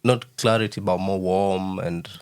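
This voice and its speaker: A man talking as a guest in a casual podcast chat, measured and searching for his words. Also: sits low, fairly even intonation, smooth.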